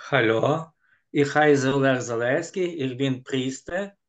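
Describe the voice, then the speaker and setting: A Ukrainian man in his forties, a priest and language student, speaking carefully as a beginner. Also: mid-range voice. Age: 40s. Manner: slow, careful beginner speech.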